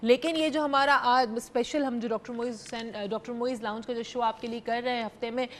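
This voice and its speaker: Young Pakistani-accented woman, TV studio host, slightly high voice, chatty and code-switching. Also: steady tone, brisk, fairly bright tone. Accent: Pakistani Urdu, mixing in English. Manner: chatty, mixing Urdu and English freely. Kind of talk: live call-in talk show hosting.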